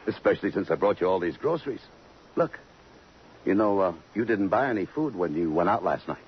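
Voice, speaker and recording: A man's voice: sits low, lively intonation, a little fast. A man with an American accent playing a tough, blunt police lieutenant in a 1950s radio drama, questioning in a clipped, hard-boiled way. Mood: casual, dry. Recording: dull, noisy old radio recording.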